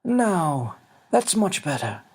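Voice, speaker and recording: A man voicing a tiny old winged fairy man for a fantasy storybook, solemn and gentle, with a slow, grave delivery. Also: sits high, big pitch swings, breathy edge, voice pushed up, full character voice. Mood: teasing.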